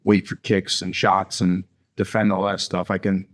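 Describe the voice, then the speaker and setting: A man's voice: deep voice, level tone, normal speed. A young adult man from Connecticut, a professional fighter as interview guest, talking bluntly with swearing, restarts and fillers. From Greenwich, Connecticut. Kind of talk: casual interview talk.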